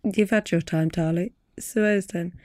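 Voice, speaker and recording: A woman's voice: fairly low pitch, steady tone, measured pace, a little mellow, slight background noise. A woman hosting a podcast as a mother, casually interviewing a child in an encouraging, playful way.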